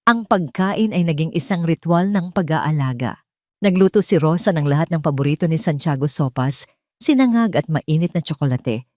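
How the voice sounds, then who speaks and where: A woman with a Filipino accent reading a scripted dramatic story in a measured, solemn manner. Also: sits low, expressive, darkish tone, no room sound, studio quality. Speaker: story narrator.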